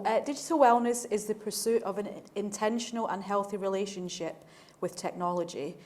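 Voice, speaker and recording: A woman's voice: medium pitch, a little mellow. Chatty woman chairing a panel. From not from Manchester. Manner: chatty, jokey, with frequent fillers. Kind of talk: hosting a panel discussion.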